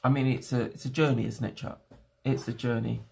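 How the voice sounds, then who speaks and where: A young man whose first language is not English, chatting casually to his livestream viewers from home and thinking aloud in halting, restarting phrases. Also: mid-range voice, level tone, gravelly, darkish tone, slight background noise. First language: a home language other than English. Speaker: streamer.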